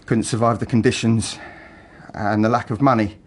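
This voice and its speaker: An actor playing an embittered, broken working man making a halting, emotionally raw confession in a radio drama. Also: fairly low pitch, steady tone, lightly acted. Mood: bitter. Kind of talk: naturalistic radio drama acting.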